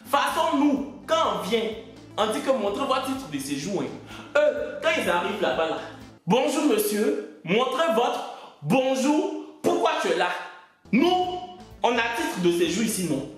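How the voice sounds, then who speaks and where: A man's voice: very high-pitched, a bit bright. A man from West Africa, delivering a stand-up comedy monologue in an indignant, mocking way and drawing out his punchlines. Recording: very echoey room, faint music.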